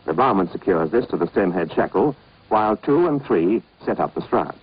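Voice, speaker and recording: British man, lively narration, dull old film soundtrack. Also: deep voice, moderate pace, slightly rough. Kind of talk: scripted instructional film narration.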